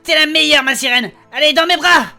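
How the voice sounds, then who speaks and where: A woman's voice: sits high, brisk, vocal fry, bright, forceful tone, heavily acted. A woman playing a hapless, earnest and affectionate male adventurer in a comic fantasy audio drama. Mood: tender.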